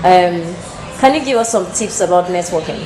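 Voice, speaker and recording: A woman's voice: lowish voice, expressive, normal speed, crowd noise. A woman interviewing a business guest, asking her questions in a polite, friendly way. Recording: echoey room with background noise.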